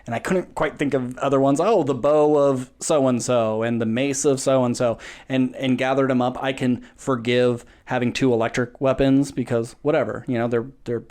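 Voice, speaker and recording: Animated man rambling in casual chat. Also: mid-range voice, moderate pace. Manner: rambling, animated, stumbles on words. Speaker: podcast co-host. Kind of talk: casual film review chat.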